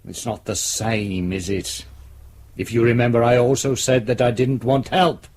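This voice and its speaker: A man's voice: medium pitch, fairly even intonation, normal speed, a little mellow, close to an everyday voice. Casting: man playing older British police chief, domineering and cutting, condescending delivery, radio play. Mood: scolding. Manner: condescending, scolding, cold. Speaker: actor.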